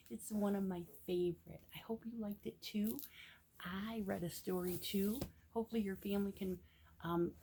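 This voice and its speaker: A woman reading a picture book aloud to young children with gentle, expressive asides that sometimes drop into a hush. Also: mid-range voice, a little hiss or hum. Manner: gentle, expressive, often drops into hushed whispered asides.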